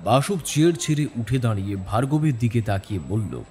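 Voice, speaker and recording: A man's voice: medium pitch, animated, fairly quick, warm tone. A man narrating a scripted detective story in a dramatic storytelling style.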